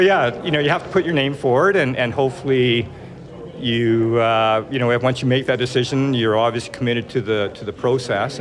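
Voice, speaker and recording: A man in his sixties, an accountant and board member, answering interview questions with many restarts and fillers. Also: lowish voice. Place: busy brunch reception hall. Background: crowd chatter.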